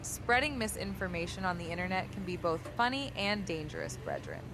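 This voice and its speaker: A synthetic female text-to-speech voice reading viewer messages and its own overly formal replies in a flat way. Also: on the low side, brisk, background noise.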